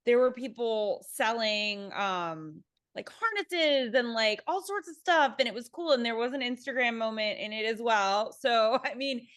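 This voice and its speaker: A woman's voice: fairly high pitch, a little slow, few pauses, a bit bright, dry recording, clean studio sound. A woman speaking casually as a theatre panelist, thinking aloud and searching for her words.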